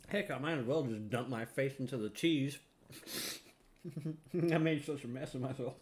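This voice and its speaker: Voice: mid-range voice, moderate pace. A streamer chatting casually and playfully on a livestream. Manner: playful humming while eating. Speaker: game streamer.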